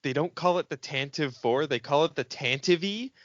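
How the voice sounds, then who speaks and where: A podcast co-host with a very lively, swooping delivery and a mid-range pitch. The talk is casual, sarcastic and full of swearing and mock quotes. The sound is slightly dull and muffled. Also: moderate pace. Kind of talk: casual comedic movie discussion. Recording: muffled, roomy home recording.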